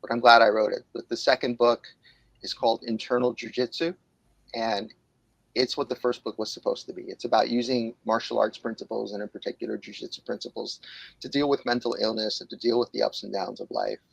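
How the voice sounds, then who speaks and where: A New York man in his late forties talks openly on a podcast, thinking aloud with plenty of pauses and fillers. His voice carries a gravelly texture and sits at an ordinary pitch. The sound is close and dry, with no room around it. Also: no echo, rough recording. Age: 40s. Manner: candid, reflective, hesitant with fillers. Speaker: guest, jiu-jitsu instructor and author. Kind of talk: podcast interview.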